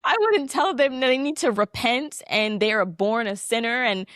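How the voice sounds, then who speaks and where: A young adult woman sharing her story in an interview, animated and reflective, her voice leaping upward when she quotes her younger self. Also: fairly high pitch, normal speed, no room sound, studio quality. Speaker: podcast guest.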